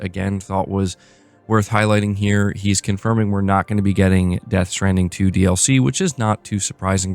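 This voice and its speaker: A man's voice: on the low side, a little mellow. A man hosting a gaming news show, reading reports aloud and adding casual commentary.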